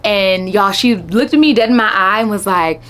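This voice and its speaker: Young adult woman, vlogger, casual to-camera talk, chatty, familiar, middle pitch. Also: lively intonation, normal speed. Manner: chatty and warm, addresses viewers as y'all. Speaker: travel vlogger. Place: Texas home setting.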